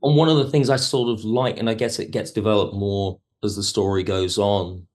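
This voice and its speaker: A man's voice: lowish voice, steady tone, moderate pace, a little mellow. A man talking casually as a podcast guest, a writer and director who is enthusiastic and reflective about his work. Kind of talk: casual interview chat.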